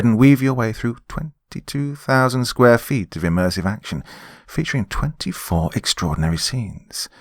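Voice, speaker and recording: A British man hosting and narrating a horror podcast, reading scripted material with dramatic, deliberate, emphatic phrasing. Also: low-pitched, no room sound, clean studio sound.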